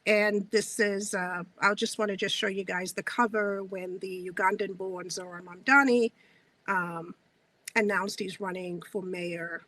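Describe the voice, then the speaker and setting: Slow, earnest woman hosting an interview. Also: mid-range voice, pushed and bright, rough recording. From South Africa. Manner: earnest, measured, with frequent filler pauses. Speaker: host and publisher. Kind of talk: interview introduction.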